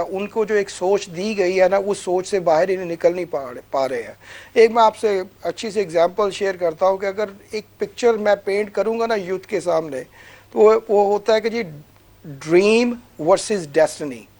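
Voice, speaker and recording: Pakistani guy being interviewed, pretty high voice, earnest motivational talk, repeats himself to make points. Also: moderate pace, a little mellow. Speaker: businessman guest. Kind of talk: conversational interview answers.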